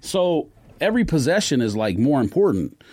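Lively, argumentative man with a dry sound. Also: a little high, normal speed, husky, no echo. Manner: slangy, dismissive, argumentative. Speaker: podcast co-host. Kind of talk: casual sports debate on a podcast.

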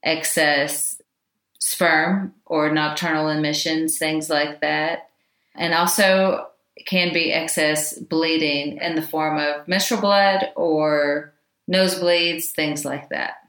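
A woman with a low, loud voice gives an informal talk on Chinese medicine, chatty and full of asides. She is recorded in an echoey room with a roomy sound. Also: fairly even intonation, takes it slowly.

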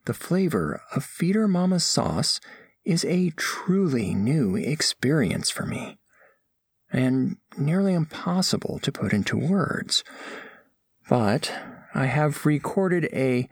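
An earnest alien scholar narrates an audio drama with great formality. The voice swings widely in pitch and carries a gravelly creak. It is recorded close and dry, and every phrase is precise and literal. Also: male voice, big pitch swings, slow pace, dry recording, exaggerated voice. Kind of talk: scripted first-person narration.

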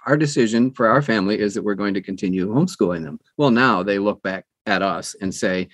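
A middle-aged or older American man telling personal stories in an animated, conversational way as an interview guest. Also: lowish voice, fast, dry recording. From United States, New Jersey. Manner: animated, acts out quoted dialogue. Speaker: interview guest, audiobook narrator. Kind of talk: conversational storytelling.

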